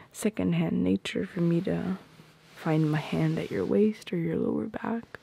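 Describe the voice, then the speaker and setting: A woman voicing a tender, playful, possessive girlfriend in an ASMR roleplay, speaking softly and intimately close to the microphone in a breathy, often whispered voice. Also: fairly low pitch, exaggerated voice. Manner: soft, intimate, unhurried, teasing. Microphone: close microphone, dry.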